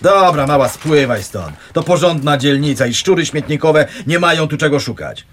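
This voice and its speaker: A blustering caretaker with swooping pitch. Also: male voice, lively intonation, normal speed. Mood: bossy, dismissive. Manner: colloquial, blustering, officious. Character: bossy, grumbling, self-important male housing-estate caretaker. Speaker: actor in a radio play. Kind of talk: naturalistic radio drama acting.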